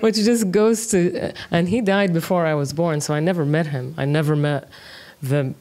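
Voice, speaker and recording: A relaxed woman in her thirties, speaking as a guest in a conversational interview. She thinks aloud as she goes, pausing on plenty of fillers. Her delivery stays easygoing and unhurried. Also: sits low. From Kuwait. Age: 30s. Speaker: interview guest, music producer.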